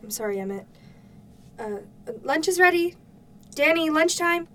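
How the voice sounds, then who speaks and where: A woman's voice: extremely high pitch, lively intonation, measured pace, bright, forceful tone, recorded from a distance, a little hiss or hum, heavily acted. A woman playing a caring, mildly skeptical mother in an audio drama, with a conversational, questioning delivery. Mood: apologetic. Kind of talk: naturalistic audio drama acting.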